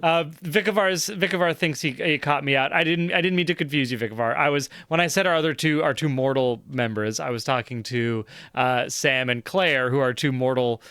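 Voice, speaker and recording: A man running a tabletop role-playing game as game master, narrating with many pauses and fillers. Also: medium pitch, fairly bright tone, clear, resonant tone, no echo.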